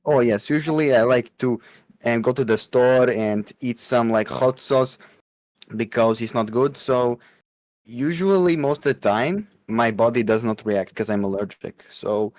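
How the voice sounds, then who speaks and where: A man's voice: mid-range voice, a little slow, a little mellow, no room sound. A man rambling absurdly and casually in broken, slangy in an online chat.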